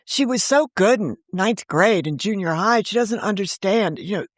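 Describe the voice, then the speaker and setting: A woman in her sixties, a novelist guest on a remote interview, talking in a chatty, animated way and often jumping in over the host. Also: medium pitch, moderate pace, no echo, clean studio sound. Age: 60s. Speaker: novelist and podcast creator, guest. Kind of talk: casual interview chat.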